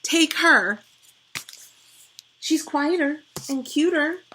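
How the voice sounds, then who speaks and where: A woman voicing a gruesome, greedy female babysitter who speaks bluntly and loudly in a storybook reading. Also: sits high, lively intonation, extremely slow, a little hiss or hum, pitched above the performer's own voice, exaggerated voice, low-quality recording. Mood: dismissive.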